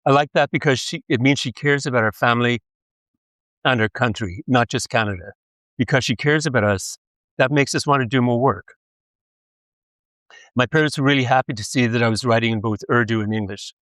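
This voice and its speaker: Older guy giving a talk, slow and careful with lots of ums, dry sound. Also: medium pitch, a bit bright, no echo. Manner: measured, with frequent pauses and ums. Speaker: lecturer. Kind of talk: academic lecture.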